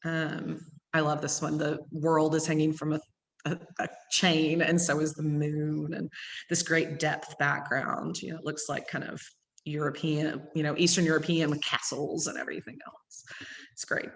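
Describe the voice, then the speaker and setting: A woman with an East Texas accent, a gallery owner giving a quick, chatty tour full of ums. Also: low-pitched, little pitch movement, gravelly. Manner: fast-talking with many ums. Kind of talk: virtual gallery tour talk. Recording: long hall reverb.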